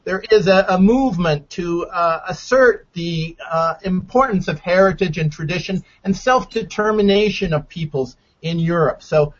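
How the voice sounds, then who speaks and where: Male radio interview host, slightly high voice, measured and deliberate delivery, dull lo-fi recording. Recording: dull lo-fi sound.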